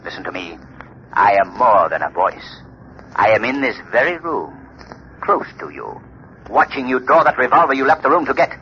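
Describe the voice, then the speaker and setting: An actor playing a menacing invisible crime-fighting avenger in an ominous, declamatory 1930s radio drama style. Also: male voice, mid-range voice, sing-song, moderate pace, a little hiss or hum. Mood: grave menace. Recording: muffled 1930s radio, music behind.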